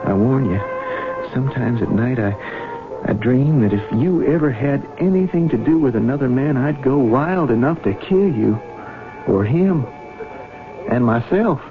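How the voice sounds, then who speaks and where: An actor playing a gentle, quiet young farmer, a loving and jealous husband, in a 1970s radio drama. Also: male voice, mid-range voice, animated, unhurried, natural voice. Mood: jealous, intense. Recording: tinny band-limited radio broadcast, music.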